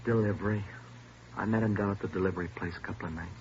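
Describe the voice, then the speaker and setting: An American actor playing a cornered, defensive young gang thief, sullen and protesting, in 1950s radio drama style. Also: male voice, fairly low pitch, fairly even intonation, rapid-fire, darkish tone, lightly acted. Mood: sullen, giving in. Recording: muffled, hissy old radio recording.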